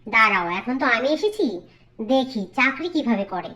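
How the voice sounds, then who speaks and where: A woman's voice: fairly high pitch, big pitch swings, moderate pace, pushed and bright. A woman voicing a spoiled, scheming young sister-in-law in a cartoon, demanding and whiny. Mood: scheming, confident. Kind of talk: Bengali cartoon acting.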